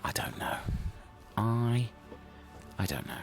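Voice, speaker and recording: A man's voice: medium pitch, sing-song, slow pace. A man in casual comic banter, muttering in a hesitant, muddled way. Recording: music clearly behind the voice.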